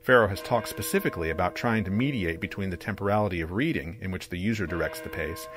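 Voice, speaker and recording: A man narrating a scripted academic video essay in a measured, articulate, explanatory way. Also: low-pitched. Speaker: presenter, digital comics creator. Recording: music under the voice. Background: music.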